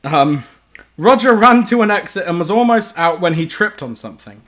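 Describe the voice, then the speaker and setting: A man's voice: high-pitched, animated, normal speed, a bit bright. A man hosting a casual group story reading, giggly and playful as he narrates and banters.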